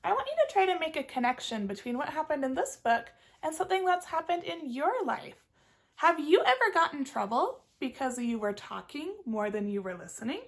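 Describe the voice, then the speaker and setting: Female read-aloud teacher: high, lively, expressive, addresses children directly with questions. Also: brisk. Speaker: teacher reading a picture book aloud.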